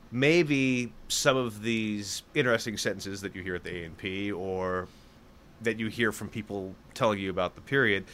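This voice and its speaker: A man's voice: fairly low pitch, a bit bright. A man hosting an interview, asking long questions that wander and hedge before reaching the point. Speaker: interviewer host.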